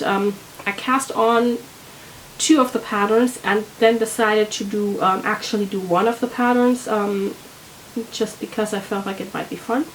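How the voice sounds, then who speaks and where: A woman with a head cold talks about her knitting projects, and her voice sits a little high and sounds nasal and congested. She chats casually and loosely to her viewers, often pausing and searching for words. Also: slight background noise, low-quality recording. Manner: rambling, hesitant, full of "um"s. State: congested, sick. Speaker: knitting vlogger. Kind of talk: casual chat to camera.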